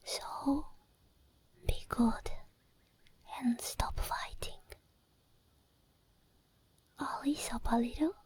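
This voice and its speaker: A woman playing an obsessed, possessive sleep paralysis demon in an ASMR roleplay, whispering slowly and breathily with coaxing, teasing menace. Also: high voice, fairly even intonation, darkish tone, slightly rough, full character voice. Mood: controlling, teasing. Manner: slow, deliberate, coaxing and controlling.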